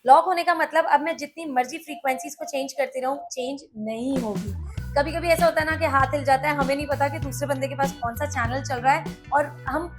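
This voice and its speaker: A woman's voice: high voice, a little fast, over music. A woman with an Indian accent hosting a casual product review, explaining things step by step and speaking directly to viewers.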